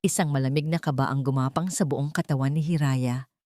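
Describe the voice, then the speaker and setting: A woman's voice: extremely low pitch, fairly even intonation, a little fast, warm tone, no room sound, clean studio sound. A Filipino woman reading a scripted dramatic story as its narrator.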